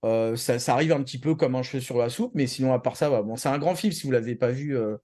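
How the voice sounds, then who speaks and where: A man co-hosting a show, chatting casually and giving opinions in slangy, halting speech. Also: medium pitch, dark tone. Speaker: co-host of an anime news show. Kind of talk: casual opinionated news chat.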